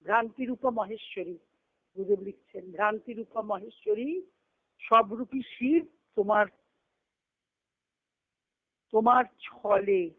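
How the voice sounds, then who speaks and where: Slow-speaking woman on a phone line. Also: mid-range voice, no echo. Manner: reflective, explanatory, asks and answers own questions. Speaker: spiritual teacher. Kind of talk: devotional discourse with recited scripture. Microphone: phone or conference call. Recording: narrow, tinny phone conference line.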